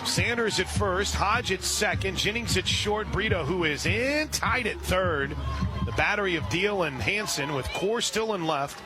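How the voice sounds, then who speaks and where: A man doing radio play-by-play of a softball game, calling pitches steadily and rising on big plays. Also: a little slow, music in the background. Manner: steady play-by-play with brief asides, rising when excited. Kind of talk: radio sports commentary.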